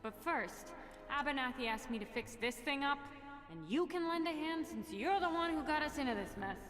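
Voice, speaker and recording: An actress playing an officious young American witch investigator in a cartoon game, brisk, insistent and by the book. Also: high voice, animated, over music. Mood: businesslike. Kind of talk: animated game cut-scene acting.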